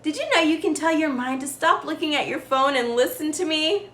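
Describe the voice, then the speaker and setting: A woman's voice: high voice, fairly quick, fairly bright tone. A woman voicing a determined, self-encouraging schoolgirl in a storybook, with an earnest manner. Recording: roomy echo with faint background noise.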